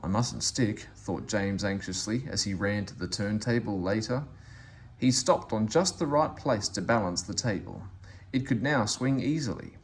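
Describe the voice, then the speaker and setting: A man narrating a children's storybook aloud in an expressive, animated way. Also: lowish voice, normal speed, a little hiss or hum. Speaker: story reader.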